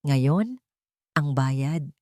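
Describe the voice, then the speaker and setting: A woman's voice: rumbling low, expressive, extremely slow, darkish tone, no echo, studio quality, pitched below the performer's own voice, exaggerated voice. A woman voicing a cold, controlled, vengeful female schemer in an audio story. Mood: cool triumph.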